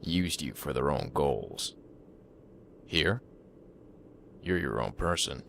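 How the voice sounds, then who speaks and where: A man's voice: rumbling low, animated, slow pace, creaky, fairly bright tone, slight background noise, full character voice. A man playing a middle-aged, controlling father who sounds kindly, in an anime fan drama. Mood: calm, manipulative.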